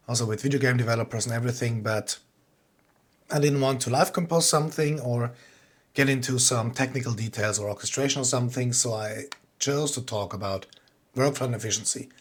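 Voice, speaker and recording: A man's voice: medium pitch, fairly bright tone. A man with a Swiss accent giving an informal online talk as a composer, explaining things in a plain-spoken way. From Switzerland.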